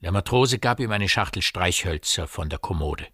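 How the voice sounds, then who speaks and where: A man's voice: low-pitched, lively intonation, moderate pace, fairly bright tone, slight background noise. A man narrating a literary radio play as a scripted storyteller.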